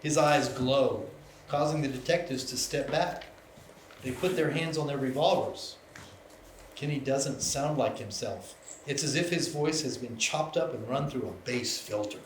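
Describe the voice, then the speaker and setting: A man's voice: medium pitch, a little slow. A man reading stage directions as narrator in scripted narration at a live table read. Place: live event room. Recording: echoey room, clear background noise.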